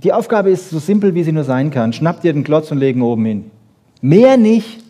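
A man's voice: fairly high pitch, sing-song, normal speed, dark tone. A man giving an informal lecture, explaining conversationally with rhetorical questions and short emphatic statements. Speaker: lecturer on brain research. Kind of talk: animated informal lecture to an audience.

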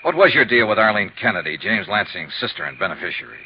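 A man's voice: lowish voice, sing-song, fast talker, a little hiss or hum. An American actor playing a tough, matter-of-fact insurance investigator who narrates his case in a 1950s radio drama. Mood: calm, steady. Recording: muffled 1950s radio recording with music.

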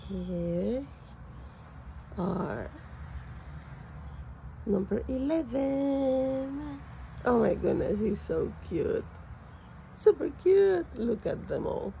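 A woman with as her talks slowly through a toy unboxing at home, and her voice is very gravelly and raspy. Her tone is mellow and dark, and she gushes with excitement over each piece. Faint room noise sits behind her. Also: on the high side. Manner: excited, gushing over toys. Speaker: vlogger. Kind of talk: casual unboxing chat. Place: home, at a table.

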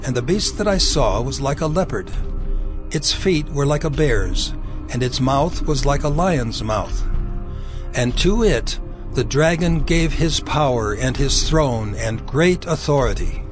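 A man narrating dramatized scripture in a solemn, measured manner. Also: medium pitch, lively intonation, music in the background.

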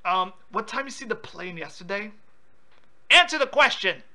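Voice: mid-range voice, lively intonation, moderate pace, pushed and bright. A prank caller on a phone call, confrontational and insistent with questions.

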